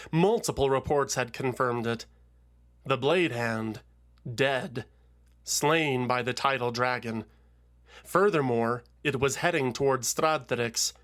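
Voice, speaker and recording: A man narrating a scripted fantasy story in a solemn, measured, dramatic manner with deliberate pauses. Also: mid-range voice, a bit bright. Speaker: narrator and show host.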